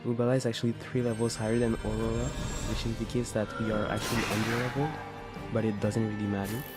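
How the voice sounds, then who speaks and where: A man with a fairly low voice and flat intonation narrates gameplay. He explains things as a let's play commentator, with music clearly playing behind him. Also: fast. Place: voice-over recorded over game music.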